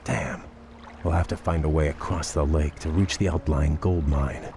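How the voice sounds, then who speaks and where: A proud elven prince for a game, deep, cultured, bitterly wry. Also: male voice, fairly even intonation, normal speed, creaky, background noise. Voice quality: refined, dry and sardonic. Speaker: prince and field commander. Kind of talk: video game cutscene voice acting.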